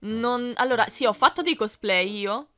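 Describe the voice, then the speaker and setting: Bright-voiced young woman, music behind. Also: fairly high pitch, normal speed. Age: young adult. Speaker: YouTube fandubber answering fan questions. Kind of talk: casual chat, reading and answering questions.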